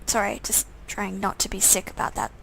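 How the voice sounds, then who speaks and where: A woman's voice: medium pitch, flat delivery, fairly quick, vocal fry, fairly bright tone, a little hiss or hum, poor audio. A woman streamer reading a visual novel game aloud as second-person story narration.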